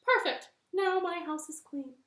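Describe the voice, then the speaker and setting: Woman playing a sleepy happy frog, super slow drawl, bright voice, echoey room. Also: very high voice, voice pushed up. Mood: drowsy. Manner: slow, drawn-out words. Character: sleepy, contented male frog. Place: living room.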